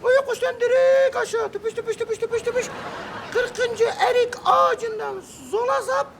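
A performer voicing a forgetful, sharp-tongued old grandmother who gives dry, matter-of-fact retorts. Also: extremely high pitch, steady tone, a little slow, a crowd in the background, background noise. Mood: tart.

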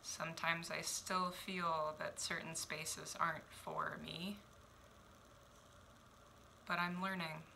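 Woman in her 20s doing spoken word at home on a webcam, slow deliberate pauses, very bright forceful tone. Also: sits low, little pitch movement, gravelly, slight background noise, poor audio. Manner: measured, reflective, deliberate pauses. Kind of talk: spoken word poetry performance. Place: small home room indoors. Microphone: headphones and webcam.

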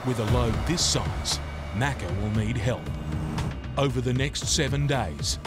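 A man's voice: mid-range voice, expressive, measured pace. A man narrating a documentary series in a dramatic scripted style, with short, suspenseful phrases. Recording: loud music under the voice.

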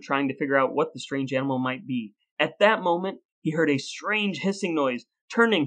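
A man hosting a podcast, telling stories and explaining in a deliberate, playfully grandiose presenter's delivery. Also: fairly high pitch, fairly bright tone. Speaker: podcast host. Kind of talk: scripted storytelling and conversational explaining.

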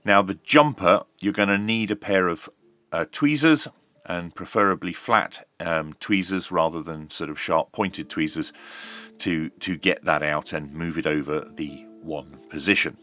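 A middle-aged man giving a measured, explanatory hands-on demonstration, like a reviewer talking through each step. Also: low-pitched, sharp tone, no echo. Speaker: radio reviewer.

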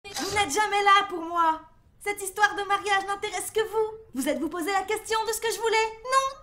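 A woman voicing a fiery, proud, rebellious teenage princess in an amateur animated film dub, declamatory and slightly nasal. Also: very high voice, normal speed, voice pushed up. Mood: indignant, angry. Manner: declamatory, emphatic. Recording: amateur dub over film soundtrack music.

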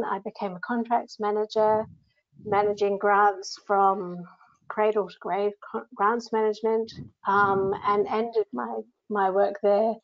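A woman with a New Zealand accent, a grants manager giving a conversational webinar talk over a video call. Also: medium pitch. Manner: conversational, with frequent ums. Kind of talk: presenting slides in a webinar. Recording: slightly dull video call audio.

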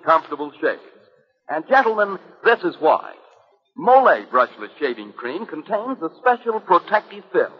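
A man's voice: fairly high pitch, slightly rough. A man working as a commercial announcer, reading scripted advertising in a 1940s radio style. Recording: muffled, reverberant old radio recording.

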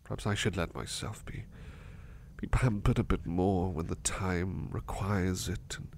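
Man as a drowsy, creaky-voiced devoted servant. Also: low voice, fairly even intonation, unhurried. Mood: tender, soothed. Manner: soft, drowsy, hesitant, often murmured or whispered. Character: gentle, sleepy, devoted male servant comforting and being comforted. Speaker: ASMR roleplay performer. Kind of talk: intimate ASMR roleplay. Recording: noticeable background noise.

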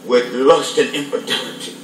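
An actor playing a heartbroken, vengeful husband in a stage play, brooding and confrontational. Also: male voice, medium pitch, steady tone, moderate pace, lightly acted. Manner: brooding, confrontational, pointed questions. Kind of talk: dramatic stage play acting. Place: theatre stage, replayed on a television. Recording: echoey distant TV playback with music behind.

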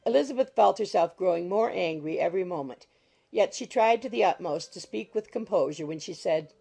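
A woman reads literary narration in a low, mellow voice. It is heavily creaky and husky. Faint music runs behind the reading. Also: animated, brisk. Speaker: audiobook narrator.

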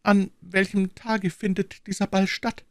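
A man's voice: a little high, very animated, unhurried, no room sound, clean studio sound, voice pushed up. A man voicing a trembling frightened queen. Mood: pleading. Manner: trembling, stammering pleas. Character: frightened young queen, desperate and pleading. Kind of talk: audiobook character reading.

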